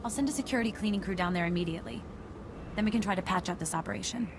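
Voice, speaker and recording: A woman playing a businesslike undercover intelligence agent in a video game, brisk and matter-of-fact. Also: medium pitch, gravelly, noisy background, lightly acted. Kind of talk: scripted video game dialogue.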